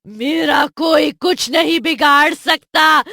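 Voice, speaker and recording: Wicked cartoon witch: high, loud, menacing, domineering, shouting her threats. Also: creaky, dry recording, clean studio sound, full character voice. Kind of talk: Hindi horror cartoon villain acting.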